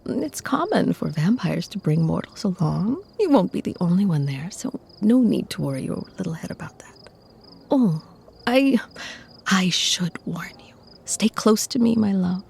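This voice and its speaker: Breathy, low-voiced protective vampire woman played by an actress. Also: sing-song, unhurried, exaggerated voice. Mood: tender, reassuring. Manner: soft, soothing endearments, breathy, genteel. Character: protective, possessive female vampire, tender lover to a mortal. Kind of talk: scripted ASMR audio roleplay.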